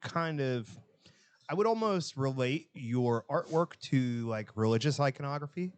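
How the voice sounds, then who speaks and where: An American man with a mid-pitched voice hosts an informal chat. He is blunt and jokey, and swears freely. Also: animated, a little slow, clear, resonant tone. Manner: blunt, joking, profane. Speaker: podcast host. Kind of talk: casual chat.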